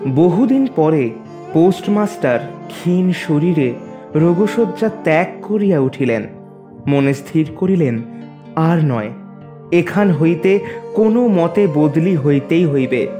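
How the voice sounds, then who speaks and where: A man narrating a literary story in a measured, expressive, formal reading. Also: on the high side, over music.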